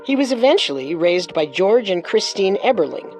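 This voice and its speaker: Woman true crime podcast cohost, scripted, bit wry and dramatic, mid pitch, soft music behind. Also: big pitch swings, normal speed, low-quality recording. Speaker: podcast co-host, narrator.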